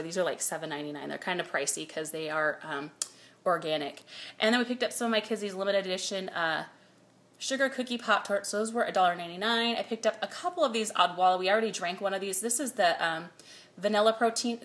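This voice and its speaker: A woman's voice: mid-range voice, fairly bright tone. A woman in her thirties chatting casually through a grocery haul, filming on her phone indoors at home and reading prices aloud between fillers. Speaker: vlogger. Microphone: phone camera held close.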